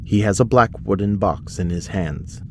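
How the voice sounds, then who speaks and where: A man's voice: sits low, moderate pace, slight background noise. A man narrating a scripted audio drama, setting each scene in the present tense.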